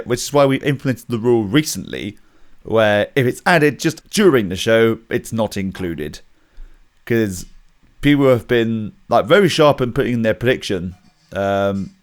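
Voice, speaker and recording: A man from Britain co-hosting a wrestling podcast, speaking in a measured, agreeable way with frequent ums and uhs. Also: mid-range voice, lively intonation. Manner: measured, agreeable, with many fillers. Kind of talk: casual chat.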